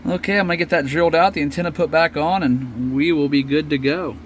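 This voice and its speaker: A man's voice: a little high, quick pace, slight background noise. A man giving a casual, unscripted hobby show-and-tell, thinking aloud as he works, recorded on a smartphone. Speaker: hobbyist presenter. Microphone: smartphone camera at the workbench.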